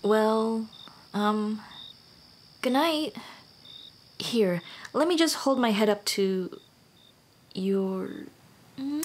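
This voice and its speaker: A woman voicing a shy, teasing female dullahan on a date in an ASMR romance roleplay, speaking softly and hesitantly, often near a whisper. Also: a little high, animated, drawn-out words, pauses often, slight background noise. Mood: flustered, fond. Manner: soft, hesitant, intimate, often dropping to a whisper.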